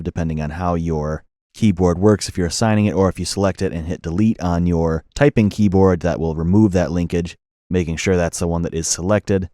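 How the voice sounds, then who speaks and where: A man narrating a software tutorial as an instructor, in a methodical, explanatory way. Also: low-pitched, no room sound, clean studio sound.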